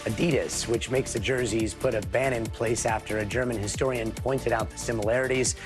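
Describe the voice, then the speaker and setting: A hoarse man anchoring a news show, reading stories with playful puns in between. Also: mid-range voice, steady tone, fairly quick, over music. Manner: punny, playful asides. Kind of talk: news presenting.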